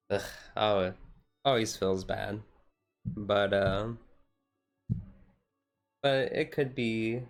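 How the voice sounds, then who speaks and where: A man chatting casually on a relaxed livestream in a rambling, easygoing way. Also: on the low side. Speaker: streamer, tabletop game master. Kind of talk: casual chat on a relaxed ASMR-style livestream.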